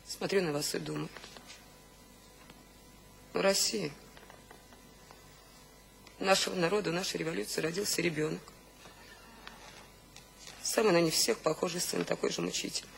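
A woman's voice: lowish voice, creaky, rough recording. An actress playing a tender, earnest revolutionary woman, confiding, in a radio drama. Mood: pitying, tender. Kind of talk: theatrical radio drama acting. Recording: faint background noise. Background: faint ambient noise.

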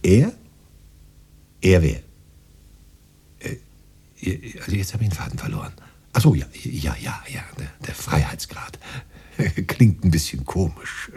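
Very husky, musing poet. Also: male voice, low voice, big pitch swings, unhurried, long pauses, darkish tone, full character voice. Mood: fascinated. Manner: musing, hesitant, muttering as he reads. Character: modest male poet, eager, fussy, tinkering with machine. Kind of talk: German radio drama acting.

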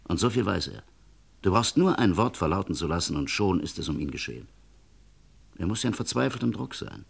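Radio crime play: steady, insistent confidant, deep-pitched, reasons firmly and warns with emphasis. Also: male voice, gravelly, slightly rough, heavily acted. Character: level-headed, insistent male friend giving stern advice. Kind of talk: vintage German radio crime play acting.

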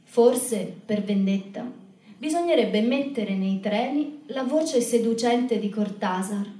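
A woman's voice: on the high side, expressive, slow, dark tone. A woman delivering a scripted literary monologue as the narrator of a spoken-word show. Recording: roomy echo, distant mic.

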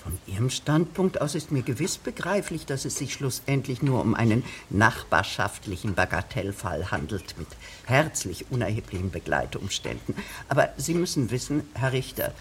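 A woman's voice: a bass voice, very animated, moderate pace. Radio drama bureaucrat: officious woman, formal, rambling, digressive, over faint background noise. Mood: insistent. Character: fussy, long-winded female village official, deferential bureaucrat.

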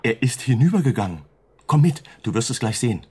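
An actor playing a worldly, persuasive doctor in a radio drama, insistent and given to explaining. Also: male voice, medium pitch, big pitch swings, fairly quick, music in the background, natural voice. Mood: grave, knowing.

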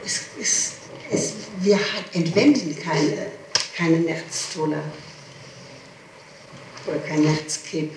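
A middle-aged woman answering questions in an interrogation, speaking haltingly, repeating herself and protesting. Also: deep voice. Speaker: suspect under interrogation. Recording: echoey, distant, noisy archival 1960s tape.

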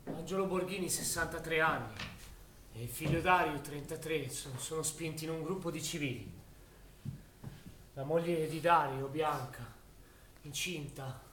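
An actor playing a grave narrator of a wartime tragedy, with theatrical delivery that moves between hushed and forceful. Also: male voice, on the high side, lightly acted. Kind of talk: dramatic scripted stage narration. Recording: echoey, distant recording with faint noise.

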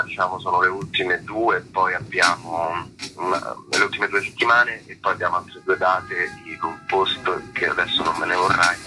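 A man's voice: sits low, poor audio. A man, an actor giving reflective, conversational interview answers and searching for his words. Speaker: actor being interviewed about a play.

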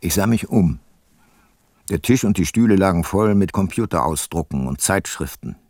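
An actor playing a male private investigator narrating his case in a radio play, measured, laconic and deadpan. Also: low voice, no room sound, studio quality. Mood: observant, dry.

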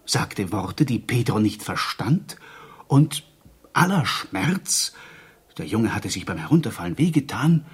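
An actor playing a superstitious, excitable male villager who tells a wondrous tale in a radio play, pausing dramatically for suspense. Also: on the low side, slightly rough, heavily acted. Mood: excited, suspenseful. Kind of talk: radio play dialogue, oral storytelling.

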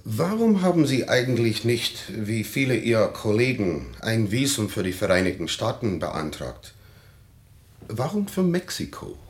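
A suspicious official in a radio play, probing and insistent as he presses a refugee for answers. His low voice swings widely in pitch as he pushes each point. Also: very animated, unhurried. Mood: interrogating. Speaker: immigration official. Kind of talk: radio drama acting.

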